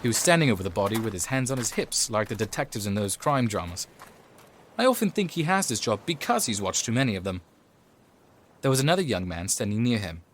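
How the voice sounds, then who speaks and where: An actor playing a weary, rule-bending detective sergeant in an audio drama, with a dry, understated delivery. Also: male voice, lowish voice, sing-song, a little fast, a little hiss or hum. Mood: weary, confiding. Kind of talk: audio drama acting with first-person narration.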